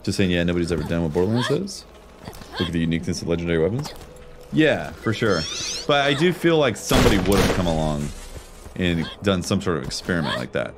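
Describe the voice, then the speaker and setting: A man's voice: sits low, expressive, quick pace, background noise. A man streaming a video game, chatting casually in an informal, slangy way as he muses and comments on the game. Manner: informal, slangy, conversational musing. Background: video game music.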